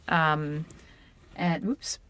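A woman's voice: sits low, steady tone, extremely slow, fairly bright tone. Chatty woman guest on a video call. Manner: chatty, self-deprecating, many fillers. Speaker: author and puppeteer guest. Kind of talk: casual video-call interview. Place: home room with a red curtain. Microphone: wired earphone mic on a video call.

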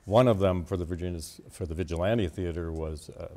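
An American man in his sixties chatting casually as a guest, in a halting, slightly wry way. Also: low-pitched, mellow. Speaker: interview guest, theater performer. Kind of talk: casual chat. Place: TV studio.

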